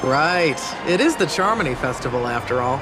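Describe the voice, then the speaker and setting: A woman's voice: rumbling low, lively intonation, moderate pace, rough recording. A woman playing a suave, poised and worldly lady with a teasing manner in a video game. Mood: teasing, knowing. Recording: loud music behind the voice.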